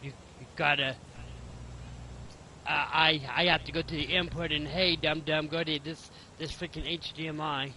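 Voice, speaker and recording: A man chatting while he plays a game, tripping over and repeating his words. He has the sniffles, and his voice is bright, forceful and a bit high. A faint hiss sits underneath. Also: level tone, moderate pace, poor audio. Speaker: gamer recording gameplay. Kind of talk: casual chat.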